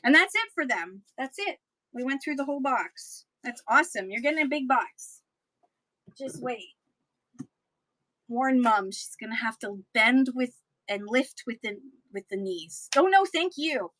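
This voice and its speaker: A woman selling books in a chatty live-stream auction, her voice getting hoarse, with playful sing-song asides. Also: high voice, lively intonation, unhurried, a bit bright, no echo, poor audio.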